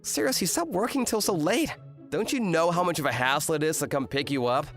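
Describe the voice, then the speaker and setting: A man's voice: a little high, expressive, a little fast, fairly bright tone, faint music behind. A man playing an exasperated eighteen-year-old student in a manga rom-com dub, complaining and narrating with indignant drama. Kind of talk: manga dub acting and first-person narration.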